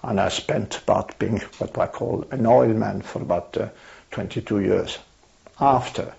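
An older man with a French accent, a native French speaker, recalling his life in an oral history interview, pausing often with fillers and restarts. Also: lowish voice, vocal fry, a little mellow. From France. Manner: hesitant, many fillers and restarts. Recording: dull lo-fi archival tape.

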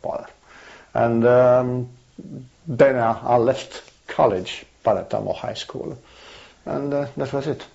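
An older man with a French accent, a native French speaker, recalling his life in an oral history interview, pausing often with fillers and restarts. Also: medium pitch, fairly even intonation, gravelly, a little mellow. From France. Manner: hesitant, many fillers and restarts. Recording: dull lo-fi archival tape.

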